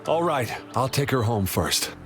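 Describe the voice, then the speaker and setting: A man playing a kind, gentle young man in a video game, reassuring in manner. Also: mid-range voice, animated, measured pace, over loud music.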